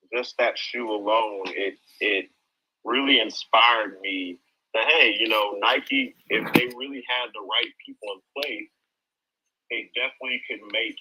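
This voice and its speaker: A man's voice: lowish voice, bright, forceful tone, husky. A man from Ghana speaking casually as a caller in an open discussion, over a dull lo-fi voice-chat connection. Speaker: audience speaker in an open forum.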